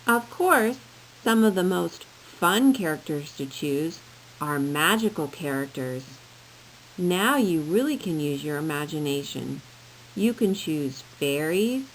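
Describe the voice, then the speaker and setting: A woman's voice: mid-range voice, rough recording. A woman teacher narrating a scripted lesson for children in simple, explanatory phrasing. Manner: simple, explanatory phrasing addressed to young learners. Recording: clear background hiss.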